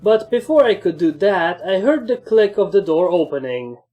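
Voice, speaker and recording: Mellow woman narrating a story over music. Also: medium pitch, animated, normal speed. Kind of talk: scripted first-person story narration.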